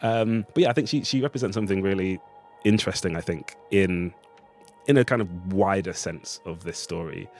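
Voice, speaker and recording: Low-voiced man, thoughtful and hesitant. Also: moderate pace, a little mellow, faint music behind. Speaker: playwright and artist, interview guest. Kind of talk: reflective interview conversation.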